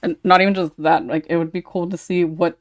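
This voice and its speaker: Lower-voiced woman hosting, close and dry. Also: flat delivery, brisk, no echo. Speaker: podcast host. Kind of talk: casual moderating chat.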